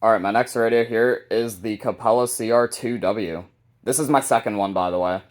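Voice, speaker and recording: A man hosting a casual, unscripted hobby video showcase, talking informally and a bit haltingly. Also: lowish voice. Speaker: hobbyist video host showing a radio collection.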